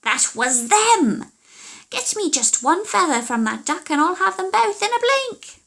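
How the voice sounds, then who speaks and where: A woman's voice: very high-pitched, sing-song, measured pace, vocal fry, fairly bright tone, pitched above the performer's own voice, full character voice. A woman voicing a wicked old witch mother who scolds and orders in an imperious, insulting way for a storybook. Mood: angry, exasperated.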